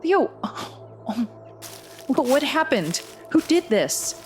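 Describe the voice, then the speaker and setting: A woman's voice: high-pitched, very animated, very slow, music in the background, full character voice. A woman playing a young, determined but anxious runner in a horror audio drama, earnest and suspenseful as she acts and narrates. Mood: alarmed. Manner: earnest, suspenseful first-person storytelling.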